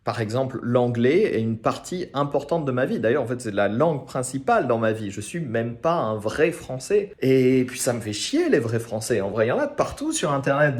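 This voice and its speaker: A man's voice: mid-range voice, a little mellow. Frank, casual man from France. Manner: frank, informal, thinks aloud. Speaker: French teacher and channel host. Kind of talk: casual talk to camera.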